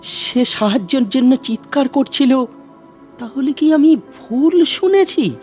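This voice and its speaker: A man playing an anxious, bewildered lover in a horror cartoon, calling out in drawn-out anguish. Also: very high-pitched, lively intonation, darkish tone, soft background music, exaggerated voice. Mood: anxious, searching.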